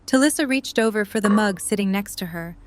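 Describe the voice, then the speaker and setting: A woman's voice: mid-range voice, animated, fairly quick. A woman narrator reading scripted story narration for an audio drama.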